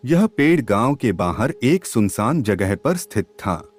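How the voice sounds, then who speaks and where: A man narrating a scripted children's story in a measured, expressive storybook manner. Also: mid-range voice.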